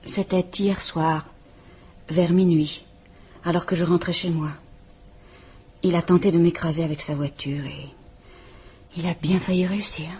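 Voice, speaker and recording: An actress playing a frightened, formal estranged wife who is visiting a police inspector, speaking in a restrained, measured way. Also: female voice, fairly low pitch, fairly even intonation, mellow, a little hiss or hum, lightly acted. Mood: fearful, measured. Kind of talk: 1950s French radio drama acting.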